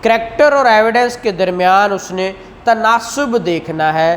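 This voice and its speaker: A woman gives a law lecture at an unhurried pace. She reads formal English clauses and glosses them in Urdu in an explanatory way. The room recording carries a light noise. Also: mid-range voice. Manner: explanatory, switches between English legal text and Urdu glosses. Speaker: law teacher. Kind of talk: classroom lecture, reading statute and explaining it.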